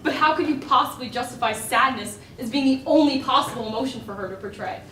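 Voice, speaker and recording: An actress plays an opinionated woman who argues with earnest, emphatic frustration in a stage drama. Her voice is on the high side and comes from well back in a very echoey, noisy room. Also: fast talker, bright, forceful tone. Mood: earnest, insistent. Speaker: stage actor. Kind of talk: stage play dialogue. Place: indoor stage, recorded from afar. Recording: echoey stage recording, distant mic, noisy.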